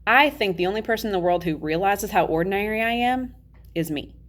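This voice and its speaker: A woman's voice: medium pitch, lively intonation, brisk, natural voice. A woman reading a children's novel as its candid, matter-of-fact ten-year-old boy narrator, in a confiding, conversational way. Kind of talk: children's novel read-aloud.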